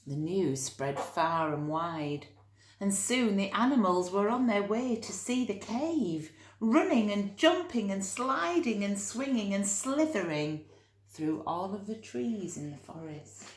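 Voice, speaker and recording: A woman reading a children's story expressively, with dramatic emphasis and drawn-out key words. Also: medium pitch, big pitch swings, roomy sound, slight background noise.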